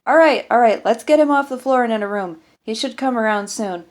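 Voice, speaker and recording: A woman's voice: on the high side, a little fast, voice pushed up, natural voice. A woman voicing a harried, blunt doctor who takes charge in an audiobook story, speaking urgently. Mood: urgent, commanding.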